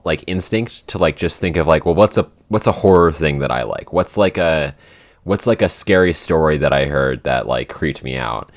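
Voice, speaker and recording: A man co-hosting a casual podcast Q&A, thinking aloud in a halting way as he explains his writing. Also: sits low. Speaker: podcast writer and co-host answering listener questions. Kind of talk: casual Q&A chat.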